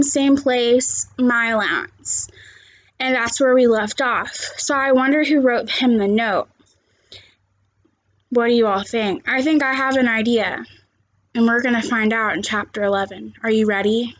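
A woman's voice: fairly high pitch, a little slow, poor audio. A young adult woman reading a children's novel aloud like a teacher and addressing young listeners in simple, direct words. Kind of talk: read-aloud of a children's novel with chat to viewers. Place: small living room, seated on a couch indoors.

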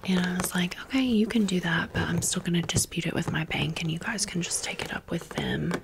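An American woman hosting an ASMR storytime in a breathy whisper, with a casual, sassy, slangy way of talking. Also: fairly low pitch, a little fast, background noise. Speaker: ASMR video host.

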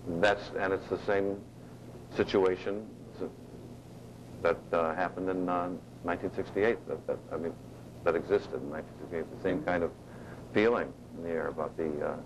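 A man answering interview questions in a halting way, with many fillers and restarts. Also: deep voice, steady tone, dull audio, background noise.

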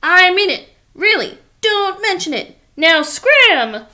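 A woman voicing a grouchy male trash-can monster in a storybook, gruff, grumbling and dismissive. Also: extremely high pitch, very animated, slow, pushed and bright, pitched above the performer's own voice, full character voice. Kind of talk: storybook read-aloud character voice.

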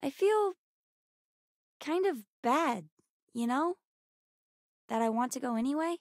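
A woman voicing an eager, precocious young girl in a video game, earnest and expressive. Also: high-pitched, slow pace, dry recording, clean studio sound, full character voice. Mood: sad, earnest. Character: bright, eager, bookish young girl aboard a spaceship. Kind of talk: video game character voice lines.

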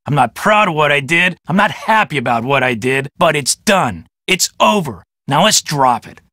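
A vain American police detective for a video game. He is cocky and quick to get defensive, with swooping pitch and a bright, forceful edge. The sound is dry and close. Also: big pitch swings, no echo. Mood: sullen, defensive. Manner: cocky, self-important, dismissive. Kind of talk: video game character acting.

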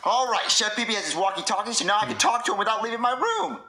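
A man's voice: high-pitched, lively intonation, fast talker, sharp tone, a little hiss or hum, exaggerated voice. A man voicing a naive, demanding young boy puppet in a whiny, stammering, childish way. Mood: nagging.

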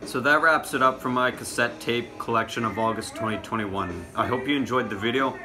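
A man's voice: medium pitch, a little fast, music in the background. A young man in his teens or early twenties doing a casual show-and-tell to camera, recorded at arm's length in a small room at home. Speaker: music collection vlogger.

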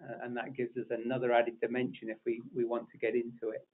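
A man's voice: medium pitch, monotone, fast talker. A man presenting a technical webinar, talking off the cuff with many fillers and restarts. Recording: narrow phone-like audio.

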